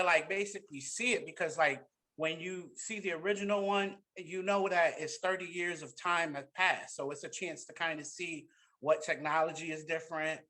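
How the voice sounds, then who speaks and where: Host: man with a bright, slightly high voice, easygoing interviewer on a headset. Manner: relaxed conversational questioning. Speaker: podcast host. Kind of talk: casual interview chat. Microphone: headset on video call.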